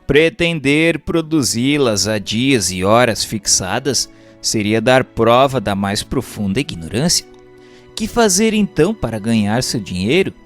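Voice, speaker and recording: A Brazilian man gives a formal, scripted narration in the manner of an audiobook. His pitch sits in a middle range but moves up and down expressively as he explains. Faint music plays behind his voice. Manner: formal, didactic. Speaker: narrator reading a book aloud. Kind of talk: scripted audiobook-style narration of a doctrinal text.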